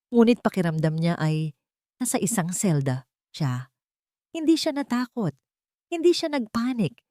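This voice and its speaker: Expressive low-pitched Filipino woman narrating a story. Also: no room sound, clean studio sound. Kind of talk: scripted narration of a romantic drama story.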